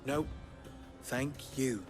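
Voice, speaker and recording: An actor playing a wry, sardonic merchant fixer with a dry, teasing manner in a game cutscene. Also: male voice, medium pitch, extremely slow, over music. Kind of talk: video game cutscene acting. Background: game score.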